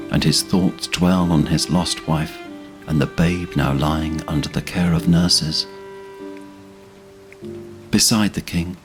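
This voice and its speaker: A man giving solemn scripted narration for a fantasy audio drama. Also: low voice. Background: orchestral string music.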